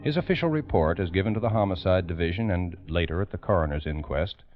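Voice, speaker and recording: A man narrating a true-crime radio drama in the 1940s style, grave, formal and deliberate. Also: sits low, animated, no room sound. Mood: grave, measured. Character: grave male narrator of a police case. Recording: muffled vintage radio recording, music behind.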